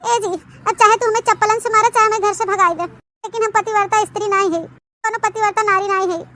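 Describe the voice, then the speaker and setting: A woman's voice: very high-pitched, steady tone, brisk, pushed and bright, slight background noise. A woman voicing an old, guilt-ridden village mother-in-law in an Awadhi comedy cartoon, fretful and wailing, with an Awadhi accent. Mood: sheepish confession.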